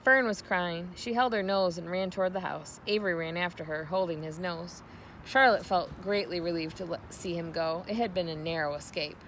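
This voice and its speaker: A woman giving an expressive read-aloud narration of a children's novel. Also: fairly low pitch, moderate pace, slight background noise, low-quality recording. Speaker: storybook reader.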